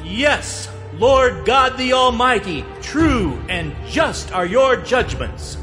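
Casting: otherworldly altar spirit, exalted, bright, in cavernous reverb, scripture drama. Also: male voice, very high voice, sing-song, exaggerated voice. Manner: slow, exalted declaiming. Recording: long hall reverb over music.

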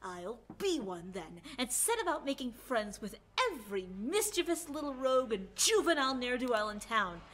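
A high, bright-voiced little boy baron played by a woman struts through a radio play. He is boastful and precocious, declaiming grandly with lively swings of pitch. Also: moderate pace, hardly any pauses, slight background noise. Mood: defiant, scheming. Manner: pompous, grandiloquent. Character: boastful, precocious rich young boy adventurer from Germany. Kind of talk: theatrical audio drama acting.

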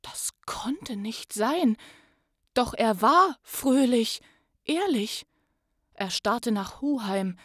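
A woman performing as a suspenseful storybook narrator, reading rhymed verse theatrically, moving between hushed and loud delivery. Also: a little high, animated, drawn-out words, no echo, studio quality, exaggerated voice. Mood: suspenseful, wondering. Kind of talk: dramatic read-aloud of a rhymed children's story.

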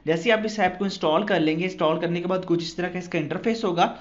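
Male tutorial host, fairly high voice, step-by-step instruction, slightly muffled lo-fi voice-over. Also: fast. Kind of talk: phone app tutorial voice-over.

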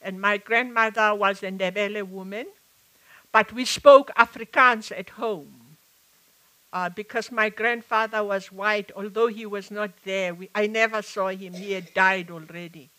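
An older woman with a South African accent, speaking reflectively at a live stage conversation, often pausing and restarting her sentences. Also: mid-range voice, steady tone, pushed and bright, poor audio. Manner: reflective, halting with many restarts. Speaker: author and activist. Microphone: headset mic.

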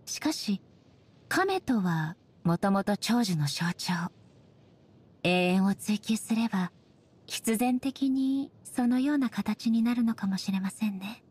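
A woman voicing a dignified, ageless shogun goddess in a game, speaking softly in formal, measured, polite phrases. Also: mid-range voice, animated, slightly rough. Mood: solemn, measured. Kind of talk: scripted game character voice lines.

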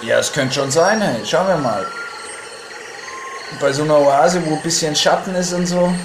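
A man's voice: normal speed, close to an everyday voice. Hyped dude-bro gunner male performer, trashy horror audio drama, slangy with English tags; roomy, very noisy sound. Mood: laid-back eager. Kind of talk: amateur German horror audio play, improvised dialogue. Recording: echoey, noisy, vehicle-like rumble.

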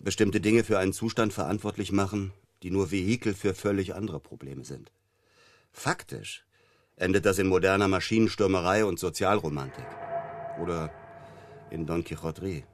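An actor playing a self-assured male psychotherapist in a German radio crime drama, measured, condescending and dryly ironic. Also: deep voice, no echo, natural voice. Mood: lecturing.

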